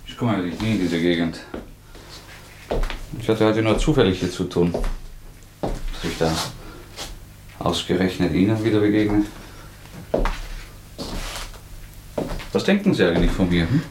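An actor playing a tormented, secretive murderer in a German radio drama, restless and suspicious, halting and breaking into agitated outbursts. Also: male voice, low voice, background noise, lightly acted. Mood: probing, uneasy.

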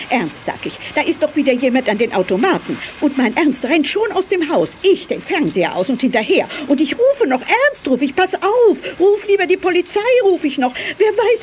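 A gossipy, indignant shopkeeper's wife in a children's radio drama. Her voice is high and swoops animatedly as she chatters breathlessly and repeats herself. There is faint noise behind her. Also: very animated, brisk, few pauses. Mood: agitated. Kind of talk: German children's audio drama acting.

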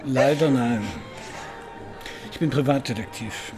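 An actor playing a wry, probing private detective who narrates and questions in a German radio crime drama, dry and laconic, with a gravelly voice. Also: male voice, mid-range voice, measured pace, music in the background, close to an everyday voice. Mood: polite, businesslike. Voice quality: gravelly, creaky.

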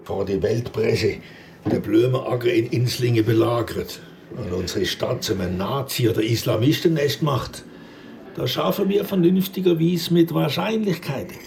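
An actor playing a suspicious, stubborn small-town police investigator with a southwest German accent from Baden, pointed and probing in a radio drama. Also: male voice, medium pitch, a little slow, gravelly, a little mellow, slight background noise. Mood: matter-of-fact.

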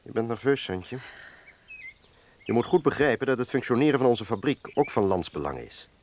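An actor playing an earnest middle-aged factory director in a Dutch wartime radio drama, speaking in a measured, explanatory way. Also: male voice, mid-range voice, big pitch swings, darkish tone, a little hiss or hum, natural voice. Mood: grave, urgent. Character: earnest male factory director defending collaboration in wartime Netherlands.

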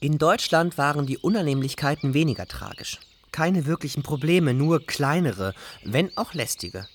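A man's voice: fairly high pitch, animated, moderate pace, slight background noise. A man playing an ironic, stubborn young refugee narrating in a literary radio play.

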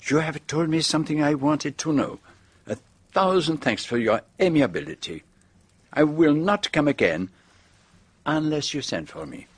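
Sly, courteous French-accented detective, slightly husky. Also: male voice, fairly high pitch, animated, normal speed. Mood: courteous, knowing. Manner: formal, precise, gently probing. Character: courteous, shrewd foreign male detective, fussy and sly. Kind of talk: radio drama acting.

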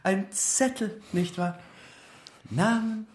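An actress playing a menacing, mocking interrogator in a radio play, threatening and taunting. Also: female voice, medium pitch, slow pace, darkish tone, close to an everyday voice. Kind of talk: German radio play acting. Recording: noisy background with clicks.

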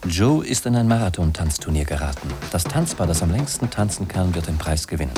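An actor as a matter-of-fact narrator in a 1950s radio drama, speaking in short scripted sentences. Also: male voice, sits low, animated, fairly quick, music in the background. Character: matter-of-fact male narrator following a stilt walker.

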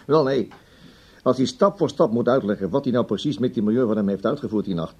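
An actor playing a brusque, gossipy, busy broadcasting boss in a radio drama, husky-voiced, chatty and dismissive. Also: male voice, mid-range voice, fast talker, darkish tone, natural voice. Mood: gossipy, amused. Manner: dismissive, chatty, repeats himself. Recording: dull old radio drama recording.

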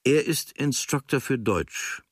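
An actor reading as a young German writer on his travels, observant and dryly critical, in measured, sober, clipped phrases. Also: mid-range voice, animated, slightly rough, dry recording, clean studio sound. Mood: detached, observant. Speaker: reader of a writer's diary. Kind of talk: literary reading of a travel diary.

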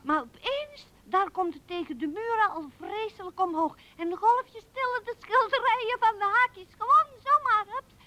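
A woman playing an imaginative, excitable small child telling make-believe stories earnestly in a radio play. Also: extremely high pitch, normal speed, hardly any pauses. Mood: excited. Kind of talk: 1970s radio drama acting.

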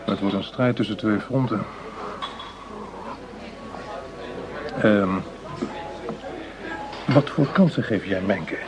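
An actor playing a cautious, calculating justice minister in a radio play, speaking in deliberate, formal phrases. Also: male voice, lowish voice, lightly acted, low-quality recording. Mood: guarded concern.

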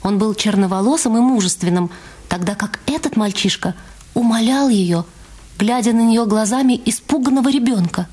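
A woman's voice: on the high side, normal speed, mellow, slightly rough. A woman reading scripted literary narration for a dramatised radio production. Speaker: narrator and reader in a radio play. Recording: music under the voice. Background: music.

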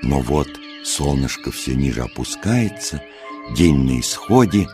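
A man narrating a scripted children's nature tale as a storyteller, unhurried and expressive, putting questions to the listener. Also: extremely low pitch. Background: music.

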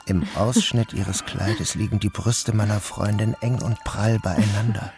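A man narrating a literary first-person story as an audiobook reader, in a calm, even manner. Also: on the low side, a little slow, gravelly, faint music behind.

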